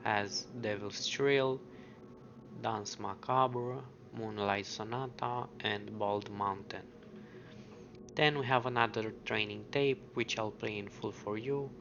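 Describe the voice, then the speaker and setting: A man narrating an explanatory video commentary, mostly scripted with casual asides, on a muffled, noisy lo-fi recording. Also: fairly low pitch. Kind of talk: explanatory commentary on a horror web series. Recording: muffled lo-fi audio with clear background noise.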